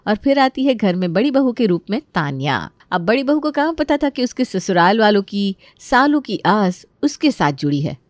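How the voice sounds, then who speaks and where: A woman narrates a family story in an expressive, conversational way. Her voice sits at a middle pitch, and the recording is close and dry. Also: moderate pace, few pauses, no echo. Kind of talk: animated Hindi storytelling narration.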